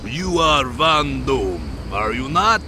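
Man acting slow, menacing Russian KGB agent. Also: medium pitch, big pitch swings. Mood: formal, probing. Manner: formal, broken English without articles. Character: menacing Soviet KGB agent, coldly formal. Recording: noisy street ambience under voice.

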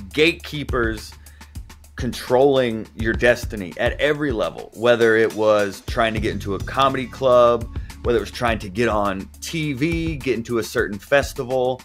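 A man with a mid-range voice answers interview questions in a relaxed, talkative way. He is a comedian with a podcast, and faint music plays behind him. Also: mid-range voice. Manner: relaxed, talkative, says "like" often.

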